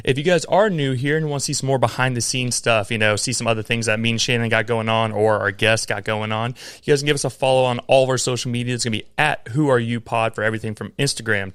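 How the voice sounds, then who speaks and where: A man's voice: medium pitch, a bit bright, clear, resonant tone, no echo. A man hosting a podcast, upbeat and laid-back in casual chat. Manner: upbeat, laid-back, talks in long run-on sentences. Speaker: podcast host.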